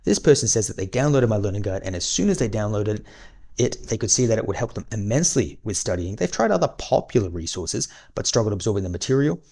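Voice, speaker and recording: A man with a fairly low voice talks at a brisk clip as he teaches a recorded certification course. He sounds chatty and encouraging, calls his listeners guys, and his voice is starting to give out. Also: big pitch swings, gravelly, a little mellow. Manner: quick, chatty and encouraging, addresses viewers as guys. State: losing his voice. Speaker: online course instructor. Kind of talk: recorded exam-prep lesson.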